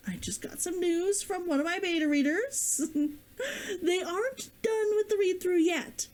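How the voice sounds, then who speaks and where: A woman author and streamer reading her novel aloud and chatting with viewers in a gentle, expressive way. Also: high-pitched. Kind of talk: expressive storybook read-aloud and chat.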